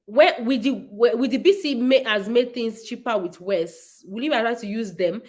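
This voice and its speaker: A woman's voice: fairly high pitch, normal speed, darkish tone. A woman, hosting a casual livestream and answering viewers' nursing questions in an emphatic, repetitive way.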